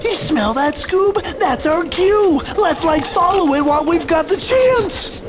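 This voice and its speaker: An actor playing a goofy, cowardly young slacker in a cartoon game, with slangy, nervous, laid-back talk. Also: male voice, very high-pitched, expressive, unhurried, a loud crowd. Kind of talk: cartoon video game acting.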